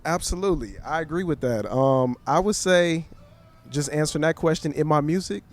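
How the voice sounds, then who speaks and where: A young man hosting a podcast, answering in a thoughtful, measured, slightly hesitant way. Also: fairly high pitch, soft background music. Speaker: podcast host. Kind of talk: conversational interview.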